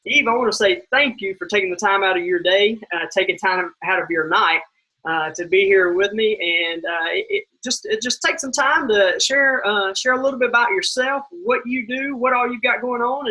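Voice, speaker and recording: A man's voice: high voice, brisk, pushed and bright, low-quality recording. A man hosting a conversational interview in an effusive, affirming manner. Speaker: interview host.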